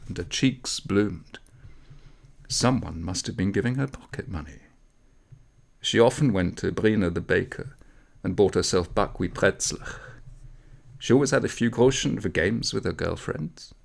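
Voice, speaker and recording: A man reading a literary story aloud in an expressive narration style, with measured, dramatic phrasing. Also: lowish voice, gravelly. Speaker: audiobook story reader.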